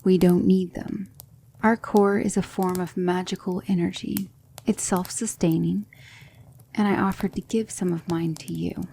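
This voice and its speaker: A woman playing a gentle, patient demon mentor in an ASMR roleplay, speaking softly and often in a whisper, soothing and reassuring. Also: lowish voice, fairly even intonation, measured pace, gravelly, a little hiss or hum. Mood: calm, solemn storytelling.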